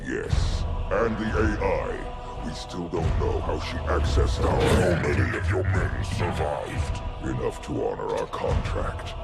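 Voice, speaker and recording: A man playing a hulking alien warlord in a video game, commanding and contemptuous, with a gravelly growl and a slow, forceful delivery. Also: very deep voice, lively intonation, exaggerated voice. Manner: slow, forceful, barking orders. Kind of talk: video game cutscene acting. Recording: loud music under the voice. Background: loud music.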